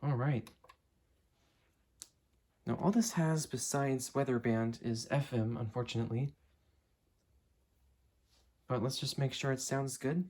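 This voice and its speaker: Young man, soft dark husky voice. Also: mid-range voice, steady tone, unhurried, pauses often. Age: late teens, young adult. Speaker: hobbyist reviewer. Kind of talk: casual unscripted product demo. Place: indoor room at a desk.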